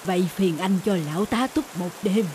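A woman's voice: mid-range voice, moderate pace, slight background noise, natural voice. Woman voicing an old beggar man immortal. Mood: humble pleading. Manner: humble and pleading, later calm and solemn. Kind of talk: cartoon voice acting. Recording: loud background music.

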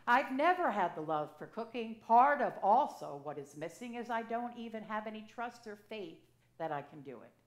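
A woman in her sixties, an assisting priest, preaching a sermon from the pulpit in a conversational, storytelling way with lively gestures, speaking into a pulpit microphone. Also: on the high side, moderate pace. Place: church sanctuary, at a pulpit. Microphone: gooseneck pulpit mic. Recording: echoey church sanctuary.

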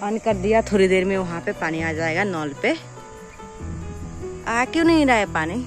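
A woman vlogger in her thirties speaks with a Northeast Indian accent at a middle pitch. She talks casually to her viewers, recorded outdoors on a phone, with clear music behind her. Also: lively intonation, normal speed. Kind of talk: casual vlog narration to viewers. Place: outdoors in a rural village. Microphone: phone at arm's length.

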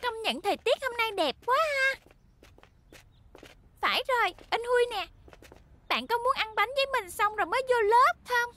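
A woman's voice: very high-pitched, expressive, moderate pace, long pauses, bright, forceful tone, a little hiss or hum, full character voice. A woman voicing a sweet, earnest little cartoon schoolgirl. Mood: sweet, admiring. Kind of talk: cartoon dubbing.

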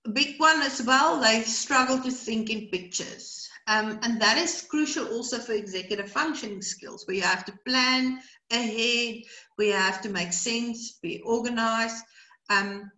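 A woman's voice: fairly high pitch, unhurried, pushed and bright, roomy sound, low-quality recording. A middle-aged South African woman whose first language is Afrikaans, presenting a webinar over a video call and talking in a rambling way with many fillers and repeated words. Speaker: brain training educator. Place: small room indoors. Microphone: video call webcam.

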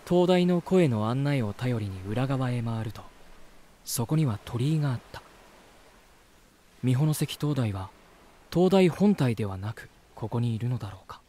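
Male lead for voice drama: polite, earnest reporter, medium pitch, gentle, expressive, easily amazed. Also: a little slow. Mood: polite, flustered. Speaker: voice actor narrating as lead character. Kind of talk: first-person voice drama narration and dialogue.

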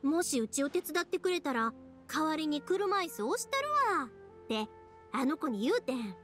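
A woman's voice: sits high, big pitch swings, normal speed, a bit bright, soft background music. A woman playing a cheerful, chatty young fireworks maker in a video game, speaking expressively with a Kansai accent. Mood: chatty, thoughtful. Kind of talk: animated video game voice acting.

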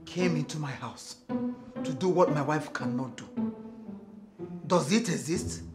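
A man's voice: fairly high pitch, lively intonation, a little slow. A man playing an indignant, domineering husband in a comedy skit, blustering with a Nigerian accent. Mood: outraged. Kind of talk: broad comedy skit acting. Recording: echoey room, faint music.

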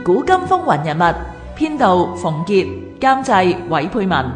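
A woman's voice: mid-range voice, sing-song, a little slow. A woman reading scripted programme credits as a radio announcer. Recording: roomy reverberant sound over music. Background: music.

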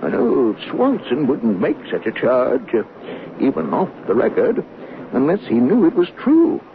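An actor playing a strict, dignified Wall Street financier in a 1970s radio drama, speaking formally and with reserve. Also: male voice, medium pitch, wildly swooping pitch, measured pace. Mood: confiding, suspicious. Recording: noisy tinny narrow-band old radio recording.

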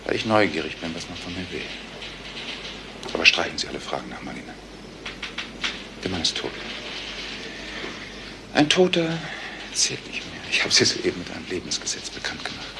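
An actor playing a cultured, cynical swindler who philosophises politely and pompously in a crime drama. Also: male voice, sits low, sing-song, moderate pace, long pauses, background noise. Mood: haughty, cool. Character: cultured, cynical, smug male master swindler and seducer. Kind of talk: German TV crime drama acting.

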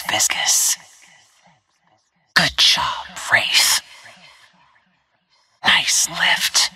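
A lethal, coolly taunting female assassin from a video game. Her voice is low and very breathy, often close to a whisper. She speaks very slowly and deliberately, with poised menace. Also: pushed and bright, full character voice. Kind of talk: video game character voice lines.

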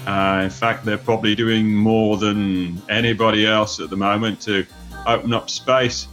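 A man's voice: lowish voice, level tone, normal speed, fairly bright tone, faint music behind. A British man from the United Kingdom, an author speaking as a podcast panelist, talking casually with frequent hesitations. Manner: hesitant, lots of "uh" fillers.

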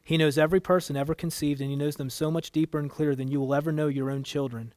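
Man reading as a calm, solemn divine woman. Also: fairly high pitch, no echo, studio quality, lightly acted. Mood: calm, stern. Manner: measured, solemn, unemotional. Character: calm, wise divine woman judge, firm and tender.

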